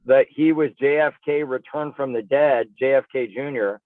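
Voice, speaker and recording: A man's voice: mid-range voice, steady tone, normal speed, no echo. A male interview guest talking casually and with firm opinions, emphatic and conversational, over a thin phone-like connection. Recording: dry, tinny phone-like radio sound.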